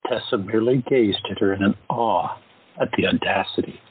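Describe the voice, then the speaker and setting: A man narrating a scripted drama in an even, measured reading. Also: fairly low pitch, husky, no echo. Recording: tinny phone-like audio.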